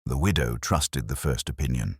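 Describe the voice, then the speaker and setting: A man's voice: rumbling low, normal speed, darkish tone, husky, no room sound, clean studio sound, exaggerated voice. A man voicing a loyal, questioning Victorian detective's companion in an audiobook, earnest and inquiring. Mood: puzzled, earnest.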